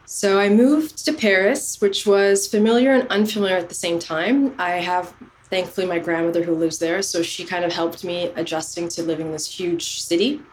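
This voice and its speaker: Low-voiced woman, reflective storyteller. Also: steady tone, moderate pace, slight background noise, low-quality recording. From Canada. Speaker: podcast guest. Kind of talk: casual interview chat.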